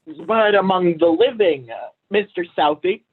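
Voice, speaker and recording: Phone-like audio, young man acting a vain blustering male poet, higher-pitched voice, pompous, stiffly formal, period stage comedy. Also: expressive, a little slow. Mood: wavering, flattered. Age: young adult. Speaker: student actor.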